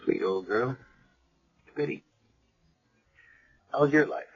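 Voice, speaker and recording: An actor playing a gruff but kindly, world-weary senior doctor in a 1970s radio drama, offhand and dryly clinical. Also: male voice, medium pitch, expressive, slow pace, soft background music, exaggerated voice. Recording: dull lo-fi old radio broadcast.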